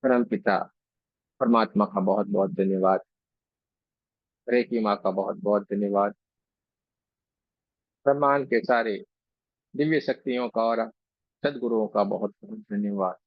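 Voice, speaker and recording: A man guiding a meditation in a gentle, unhurried, devotional way, giving instructions phrase by phrase with long pauses. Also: on the low side, no echo, dull audio. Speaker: meditation guide and Reiki teacher. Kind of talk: guided meditation, slow spoken instruction with pauses.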